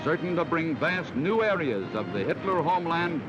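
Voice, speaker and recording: High-pitched 1940s American newsreel man. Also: big pitch swings, normal speed, very noisy. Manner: dramatic, emphatic declamatory delivery. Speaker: newsreel narrator. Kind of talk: 1940s newsreel narration, scripted. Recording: dull vintage 1940s mono film soundtrack. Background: loud music.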